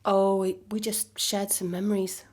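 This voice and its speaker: An actress playing a calm, resigned ex-girlfriend in a naturalistic audio drama, measured, dry and matter-of-fact. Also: medium pitch, close to an everyday voice. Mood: reminiscing.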